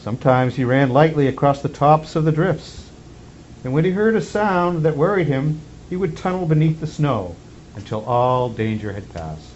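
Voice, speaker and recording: A man playing Santa Claus reads a children's storybook aloud in an expressive, measured way. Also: fairly high pitch. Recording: muffled lo-fi sound with faint background noise.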